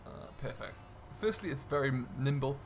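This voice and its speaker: A man's voice: medium pitch, expressive, moderate pace, a little hiss or hum. A man giving a chatty, easygoing running commentary to viewers as he plays a farming game. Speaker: host, gaming video creator. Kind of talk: casual let's-play commentary of a farming simulator.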